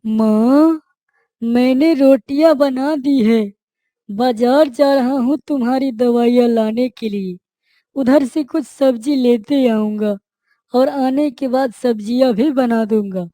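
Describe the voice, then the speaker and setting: A narrator voicing a dutiful, caring village boy in a cartoon story, speaking earnestly and gently. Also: medium pitch, slow, dry recording, voice pushed up, close to an everyday voice.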